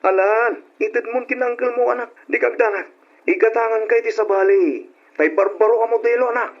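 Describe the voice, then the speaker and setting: An actor playing an eager young father and brother-in-law in an old radio drama, animated and coaxing. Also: very high voice, brisk. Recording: dull lo-fi old radio recording.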